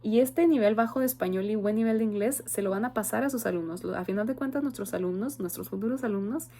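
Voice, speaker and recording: A woman's voice: mid-range voice, fast talker, mellow. A young woman with a Mexican accent, a university student giving an earnest, reflective oral presentation with frequent hesitation fillers. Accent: Mexican Spanish.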